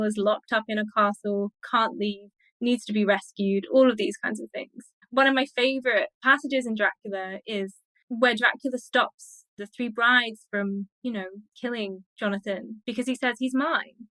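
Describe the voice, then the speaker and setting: A woman's voice: mid-range voice, fairly bright tone, no echo, poor audio. A woman speaking as a scholarly interview guest, explaining in an academic, emphatic way.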